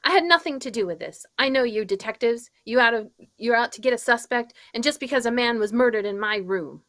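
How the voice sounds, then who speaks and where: A woman's voice: fairly high pitch, fairly quick, a bit bright, lightly acted. A woman playing a flustered, defensive female murder suspect in an old-time radio mystery, with an indignant, exaggerated period delivery.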